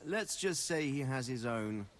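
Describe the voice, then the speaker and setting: A male actor as a pragmatic middle-aged medieval nobleman in a video game. His mid-pitched voice moves with lively intonation, wry and measured, with the authority of a commander. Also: close to an everyday voice. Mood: wry, explaining. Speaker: lord giving orders to a subordinate. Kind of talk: scripted video game dialogue.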